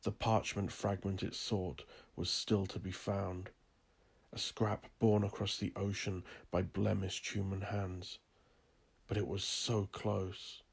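A man narrating a dark horror story in a brooding, deliberate manner. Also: low-pitched, vocal fry, slightly rough. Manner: slow, brooding, deliberate delivery.

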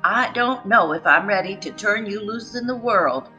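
A woman's voice: medium pitch, animated, normal speed, bright, forceful tone, dull audio, soft background music, pitched above the performer's own voice. A woman voicing a loving but firm Southern grandmother in a storybook, folksy and emphatic. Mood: firm, caring.

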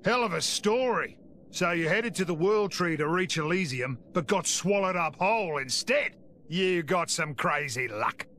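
An actor playing a gruff, brash middle-aged mercenary boss in a video game, swaggering and taunting in folksy slang. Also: male voice, high-pitched, lively intonation, unhurried, fairly bright tone, slight background noise. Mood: hearty blunt. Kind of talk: video game cutscene voice acting. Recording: music behind the voice. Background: game soundtrack music.

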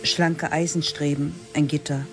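Higher-voiced male radio play narrator, sober. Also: level tone, a little slow, soft background music.

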